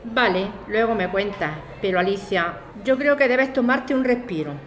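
A woman's voice: mid-range voice, normal speed, echoey room, a little hiss or hum, lightly acted. A woman playing an outspoken, protective friend, blunt and familiar, in radio drama dialogue. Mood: teasing concern.

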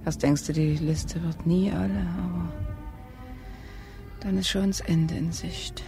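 An actress playing a disillusioned, provocative young woman in a radio play, deliberate and at times dropping into a whisper. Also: on the low side, fairly even intonation, vocal fry, music in the background. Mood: bitter, disillusioned.

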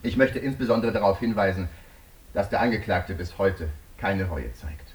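A man's voice: low-pitched, animated, moderate pace, far from the mic. An actor in a German radio drama playing a stern, indignant male prosecutor who speaks formally and emphatically. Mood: solemn, accusing.

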